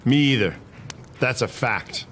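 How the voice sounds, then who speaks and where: A man's voice: mid-range voice, animated, measured pace, fairly bright tone. A man acting as a stubborn, principled horse soldier in a western dramatization. His voice sits in the middle range and he argues in plain, folksy frontier speech. There is light ambient noise behind him. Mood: indignant. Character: principled, stubborn cavalry private defending the Nez Perce. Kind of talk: historical dramatization dialogue.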